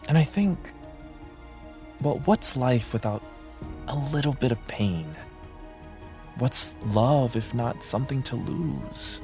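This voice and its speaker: Musing, expressive young actor. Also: male voice, medium pitch, slow, long pauses, soft background music.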